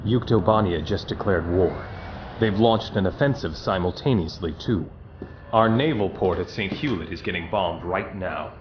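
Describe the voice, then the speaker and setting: A man's voice: sits low, moderate pace, natural voice. A man playing a grave, composed military officer in a video game. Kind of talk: game cutscene voice acting. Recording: dull sound, clear vehicle noise.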